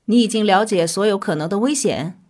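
An actress playing a composed research doctor in a science fiction radio drama, speaking in a calm, formal, matter-of-fact way. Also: female voice, medium pitch, fairly quick, close to an everyday voice. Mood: composed, solemn. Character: composed female research doctor, frank and clinical. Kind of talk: Chinese sci-fi radio drama acting.

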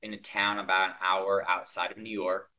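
A man's voice: low-pitched, little pitch movement, moderate pace, sharp tone. A man from Connecticut with an American accent, casually telling his own story on a podcast. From Connecticut, United States. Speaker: podcast co-host.

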